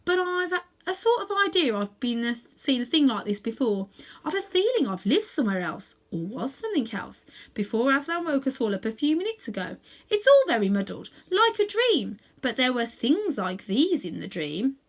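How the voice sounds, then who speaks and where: A woman narrator puts on a male talking horse from a children's storybook. He is muddled and thoughtful, repeating himself as he gropes along, and his high voice rises and falls in lively swoops. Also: normal speed, heavily acted. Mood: dreamy, muddled. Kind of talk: children's storybook character reading.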